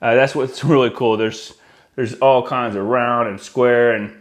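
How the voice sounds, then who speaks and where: A middle-aged American man talks to the camera in a casual, explanatory way. His voice sits at an ordinary male pitch, and he presents like a hands-on installer walking viewers through a piece of gear. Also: steady tone, measured pace. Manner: conversational, explanatory, addresses viewers as guys. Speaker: audio-video installer presenting products. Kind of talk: casual product walkthrough to camera. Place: indoor room with decorated wall, at a worktable.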